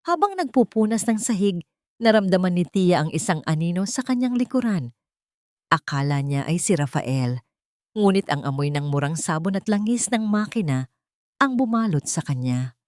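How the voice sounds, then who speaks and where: A woman with a Filipino accent narrating a dramatic audiobook with expressive storytelling. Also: lowish voice, normal speed, no echo, clean studio sound.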